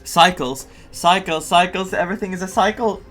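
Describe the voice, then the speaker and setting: A man's voice: high-pitched, normal speed, a bit bright. A man doing casual playthrough commentary as a gaming streamer, reacting with muttered asides and dramatic repetition. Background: game music.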